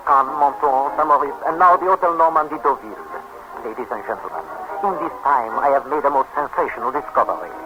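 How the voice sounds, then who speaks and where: An actor playing a middle-aged, fussy and excitable French hotel manager in a 1930s radio comedy, speaking theatrical broken English with a French accent. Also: male voice, mid-range voice, lightly acted. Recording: noisy old 1930s radio broadcast.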